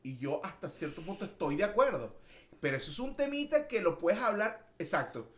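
A man's voice: high-pitched, sing-song, brisk, fairly bright tone, reverberant. A man from Caracas with a Venezuelan accent, co-hosting a casual podcast chat and emphatically acting out quoted voices. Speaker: podcast co-host.

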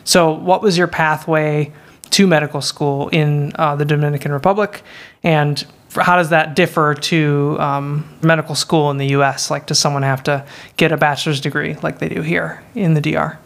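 A man's voice: on the high side, steady tone, moderate pace, creaky, fairly bright tone. A young man co-hosting a student podcast, asking questions casually and hesitantly. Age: young adult.

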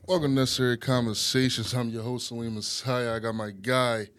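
A young man from the USA hosting a casual podcast chat, talking with easy slang and animated energy. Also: medium pitch, fairly quick. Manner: lively, animated, slangy, does voices when retelling. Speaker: podcast host.

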